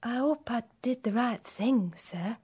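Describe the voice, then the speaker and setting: An actress playing a nervous, apologetic young housemaid in a radio play. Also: female voice, on the high side, unhurried, darkish tone, slightly rough.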